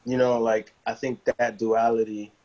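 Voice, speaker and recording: A man's voice: medium pitch, a little slow, dull audio. Easygoing Nigerian-born man, musician guest. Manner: relaxed, loose, full of fillers and restarts. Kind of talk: casual interview chat.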